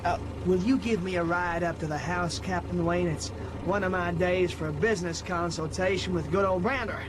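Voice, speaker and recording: A man's voice: sits high, fairly even intonation, moderate pace, close to an everyday voice. An actor playing a glib, overfriendly businessman with forward politeness in a noisy radio drama. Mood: glib, ingratiating. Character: glib, overfriendly American businessman, unwelcome acquaintance.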